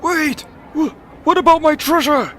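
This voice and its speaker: Shrill, unhinged old dwarf. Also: male voice, unhurried, fairly bright tone, some chatter behind, a little hiss or hum. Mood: frantic, excited. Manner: unhinged, stammering, desperate. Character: ranting homeless old dwarf man claiming dragonhood. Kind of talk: audio drama character acting.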